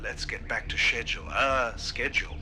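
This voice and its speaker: Actor as a pompous park founder, piercingly bright radio voice. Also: male voice, mid-range voice, fairly even intonation, moderate pace. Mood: persuasive, insistent. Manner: pompous, oratorical, persuasive. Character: grandiose, soothing male park founder, stubbornly optimistic. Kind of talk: found-footage horror audio drama. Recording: noisy radio transmission.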